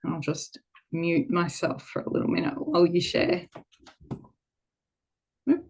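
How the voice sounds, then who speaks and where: A woman with a slightly low voice hosts a webinar over a video call. A head cold leaves her a bit croaky, and she speaks in a relaxed, informal way with plenty of ums. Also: measured pace. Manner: warm, frequent ums, relaxed informal phrasing. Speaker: webinar co-host. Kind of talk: online webinar presentation.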